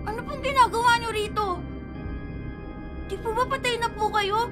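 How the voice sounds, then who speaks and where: A woman's voice: extremely high pitch, normal speed, bright, forceful tone, faint music behind. A woman voicing a curious, polite little village boy in a radio drama. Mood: uneasy. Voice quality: childlike, polite.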